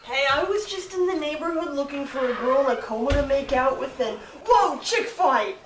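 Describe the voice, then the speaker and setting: Woman as breezy high-voiced young prince. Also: expressive, moderate pace, noisy background. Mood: smitten. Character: shallow, girl-chasing young male fairy tale prince. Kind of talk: comic fairy tale stage play. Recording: echoey stage, distant mic, music behind.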